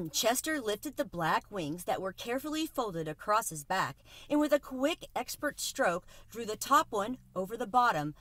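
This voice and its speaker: Female storyteller for children's read-aloud, bright, animated intonation, dry close sound. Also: fairly high pitch, normal speed, few pauses, no echo. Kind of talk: expressive children's book narration.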